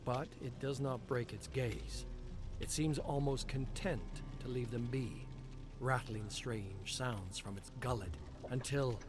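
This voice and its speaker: Streamer guy reading game lore real slow, music playing clearly behind him. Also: mid-range voice. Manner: slow, deliberate storytelling delivery. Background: clear background music.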